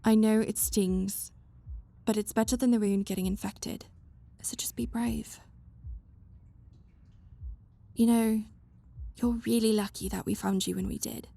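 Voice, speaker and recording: A woman's voice: mid-range voice, fairly even intonation, moderate pace, gravelly, warm tone. A woman voicing a stern, bossy but caring young woman who scolds someone she cares about. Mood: gentle. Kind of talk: fan audio roleplay.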